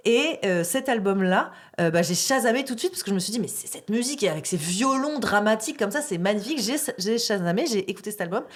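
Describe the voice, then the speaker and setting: A woman hosting a relaxed interview, who listens a lot, chimes in with short affirmations, and tells her own stories. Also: mid-range voice, fast talker, few pauses. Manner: warm listener, frequent affirmations and laughs. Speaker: podcast host. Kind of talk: casual interview chat.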